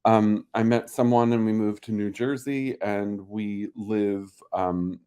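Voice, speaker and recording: A man from Pennsylvania, a guest on a video call, talking haltingly and emotionally about his late cat with many pauses and restarts. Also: lowish voice, fairly even intonation, warm tone, clear, resonant tone. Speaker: pet owner guest. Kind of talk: emotional interview talk.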